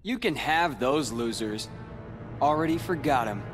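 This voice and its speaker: Casting: male actor for scornful brooding teen rival, cool and unhurried, game cutscene with music underneath. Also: mid-range voice, animated, lightly acted. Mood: cold scorn. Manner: cool, taunting, deliberate. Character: cold, scornful, brooding teenage boy rival. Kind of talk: video game cutscene acting.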